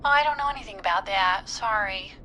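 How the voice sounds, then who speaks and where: An actress playing a perky, flirty, evasive jazz studio owner in a video game, with a theatrical, gushing manner. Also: female voice, medium pitch, fast talker, pushed and bright, poor audio. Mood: nervous, guarded.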